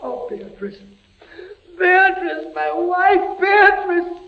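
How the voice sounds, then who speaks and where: An actor playing a condemned young army captain, proud but fevered and fearful, in theatrical, halting 1940s radio style. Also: male voice, extremely high pitch, sing-song, vocal fry, heavily acted. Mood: fearful, anguished. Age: young adult. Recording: scratchy, muffled 1940s radio recording.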